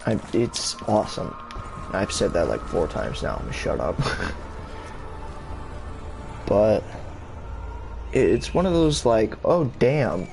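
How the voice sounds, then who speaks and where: Slow, rambling young man gamer. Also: creaky, over music. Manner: rambling, many fillers and restarts, swears casually; pitch jumps up when he gets excited. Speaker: gaming YouTuber. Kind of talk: casual rambling vlog chat. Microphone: console camera mic. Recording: steady background noise.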